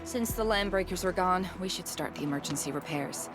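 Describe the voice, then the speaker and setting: A woman's voice: lowish voice, a little fast, music in the background, lightly acted. A woman playing a practical, matter-of-fact worker in a video game. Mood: friendly.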